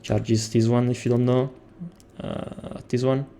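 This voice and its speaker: A man's voice: mid-range voice, little pitch movement, measured pace, creaky, mellow, a little hiss or hum. Italian-accented man explaining a game build. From Italy. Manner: explains step by step with frequent hesitations. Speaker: game guide creator. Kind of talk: gameplay tutorial.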